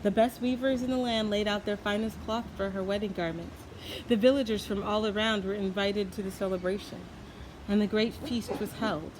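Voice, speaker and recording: A woman narrates a children's storybook. Her voice sits at a medium pitch. Noticeable background noise accompanies the reading. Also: fairly even intonation, normal speed, a little mellow. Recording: clearly noisy background.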